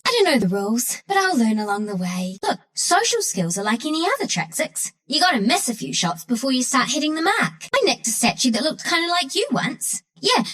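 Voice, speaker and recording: A woman's voice: high-pitched, a bit bright. A woman voicing a scrappy, mischievous young female forest critter in a video game, with a New Zealand accent and a cheeky, cocky, slangy way of talking. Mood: teasing. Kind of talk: video game character voice lines.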